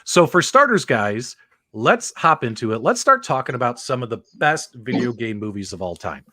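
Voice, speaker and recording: A man's voice: medium pitch, normal speed, dry recording. A man hosting a live video game podcast, talking with energy and hyping up the audience. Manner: energetic, upbeat, hypes the audience. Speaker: host.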